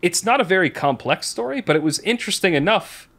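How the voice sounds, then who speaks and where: A man's voice: high-pitched, expressive, fast, a bit bright. A man hosting a podcast in casual chat, talking in a rambling, candid way and often interrupting his own sentences. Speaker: podcast host.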